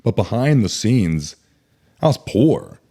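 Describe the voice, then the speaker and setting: A man in his thirties talks in a low, deep register. He tells his story openly and casually, with plenty of fillers and false starts. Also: very animated, slow, dark tone, slightly rough. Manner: candid, rambling with many likes and restarts. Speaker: bodybuilder guest.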